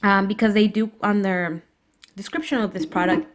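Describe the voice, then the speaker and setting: A woman's voice: mid-range voice, steady tone, normal speed. A woman with a stuffy, cold-blocked voice, chatting casually through a nail polish review with plenty of "you know" and "uh". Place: indoors at a table.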